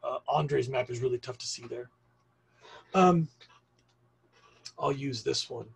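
A middle-aged man from Argentina teaching an online class over a webcam, explaining slowly and stopping often for ums. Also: medium pitch, vocal fry, breathy edge. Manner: slow, rambling explanation with frequent ums. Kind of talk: recorded online class lecture.

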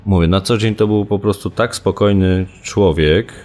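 A man with a very deep voice talks in an unscripted, conversational way. He is measured and hesitant, like an interviewed journalist searching for words. Faint music plays underneath. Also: steady tone, darkish tone. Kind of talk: casual spoken recollection.

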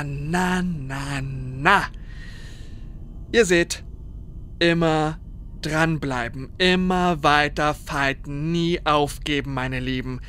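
A man's voice: fairly high pitch, fairly bright tone. A man playing a cheerful, cocky, slightly unhinged muscleman showing off to camera in a horror audio drama. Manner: chuckling, showy, talking to camera.

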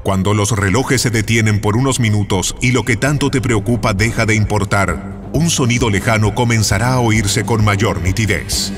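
A man's voice: fairly low pitch, moderate pace. A man delivering scripted, poetic narration as a podcast announcer. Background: loud music.

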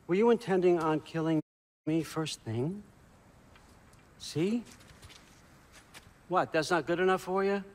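A man's voice: high voice, takes it slowly, pauses often, a little mellow. An actor playing a wily older father god in a video game, talking in a casual, glib, folksy way. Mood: exasperated, glib. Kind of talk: video game cutscene acting.

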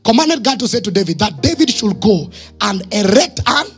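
A man in his thirties with a Kenyan accent, a pastor preaching emphatically in short phrases and questions to his congregation, husky, on a handheld microphone in church. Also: high voice, sing-song, moderate pace, faint music behind. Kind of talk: sermon. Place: church stage, indoors.

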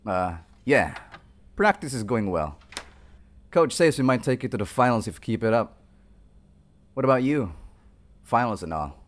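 Lively young college jock, acted by a man. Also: medium pitch, moderate pace, long pauses, natural voice. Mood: relaxed, encouraging. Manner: informal slangy bro talk with hesitations. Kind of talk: roleplay audio acting, casual chat to the listener.

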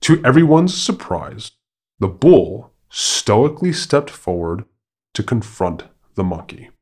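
Male podcast storyteller, lowish voice, slow and formal, dramatic scripted fable narration. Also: sing-song, a little mellow, breathy edge.

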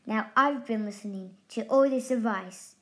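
A woman's voice: fairly high pitch, normal speed, natural voice. A woman voicing a bristly, bossy male echidna who speaks up emphatically in a storybook. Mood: indignant. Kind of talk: storybook reading.

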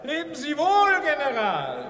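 An actor playing a pompous, gloating megalomaniac scientist villain in a theatrical science-fiction radio play. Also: male voice, very high voice, huge pitch swings, unhurried, sharp tone, exaggerated voice. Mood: gleefully gloating. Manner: pompous, theatrical, speaks of himself in third person.

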